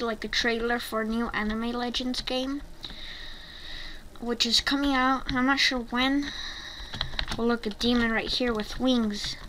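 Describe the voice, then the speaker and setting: A female-sounding gaming YouTuber giving casual gameplay commentary in a rambling, hesitant way. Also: mid-range voice. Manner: rambling, hesitant, halting delivery. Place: home bedroom, voice over gameplay. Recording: noisy home mic with keyboard clicks.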